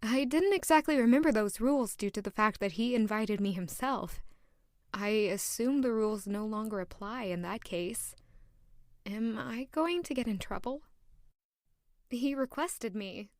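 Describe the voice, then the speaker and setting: An actress playing an earnest young maid who narrates her own story, polite and soft-spoken. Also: on the high side, animated, a little slow, no echo, clean studio sound. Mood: defensive. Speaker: maid and first-person narrator. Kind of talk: audio drama acting and narration.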